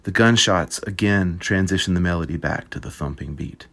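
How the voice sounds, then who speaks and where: A man reading an essay aloud at a group reading, measured and descriptive. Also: low-pitched, gravelly, a bit bright.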